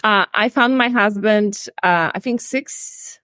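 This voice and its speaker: A woman's voice: mid-range voice, takes it slowly, a bit bright, dry recording. A woman in her forties from Poland with a Polish accent, earnestly telling her own story as a life and relationship coach on a video call. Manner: earnest, reflective, slightly non-native phrasing. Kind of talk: candid personal storytelling. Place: home room, indoors.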